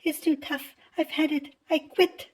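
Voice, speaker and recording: Storybook: reader doing a stubborn young girl inventor, very high and breathy, exasperated. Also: fairly even intonation, unhurried.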